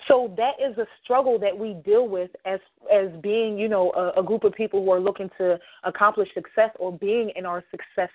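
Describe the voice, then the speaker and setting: A woman hosts her own podcast and speaks straight to her listeners in a casual, rambling monologue. Her pitch sits in the middle but jumps up often, and she leans on fillers as she thinks aloud. Her voice comes through a tinny, phone-like line with no room echo. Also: fairly even intonation, a little fast, few pauses. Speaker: podcast host.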